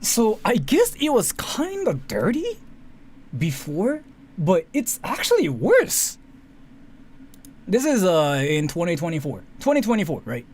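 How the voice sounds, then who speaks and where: A man's voice: high-pitched, huge pitch swings, a little slow, a little mellow. A man giving casual, rambling, sweary reaction commentary, often repeating himself. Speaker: commentary streamer. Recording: faint background noise.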